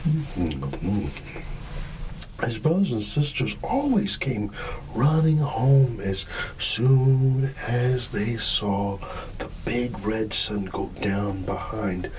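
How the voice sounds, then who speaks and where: A low-voiced man reads a children's bedtime story as ASMR roleplay. He sounds very sleepy, with a halting, drawn-out delivery full of hums and yawns. There is clear ambient noise around his voice.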